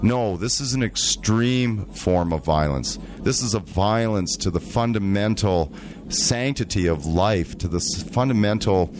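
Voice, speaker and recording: Slow, deep-voiced man speaking deliberately. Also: noisy background. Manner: slow, deliberate, with frequent restarts. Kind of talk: earnest conversational monologue.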